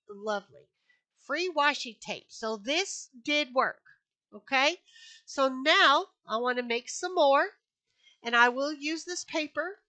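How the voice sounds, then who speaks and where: Woman craft host, high and bright, unhurried, a little stuffed up from allergies; dry close sound. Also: no room sound. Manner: chatty, repeats herself, many fillers. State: sniffly from allergies. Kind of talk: casual crafting tutorial. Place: home craft room.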